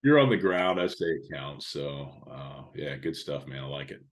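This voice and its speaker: A middle-aged man from the Indianapolis area with a loud radio-style voice, chatting casually as a podcast guest and trailing off quietly at the ends of phrases. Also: extremely low pitch, lively intonation, normal speed. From Indianapolis area, USA. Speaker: podcast guest, speaker and author. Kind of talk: casual interview talk.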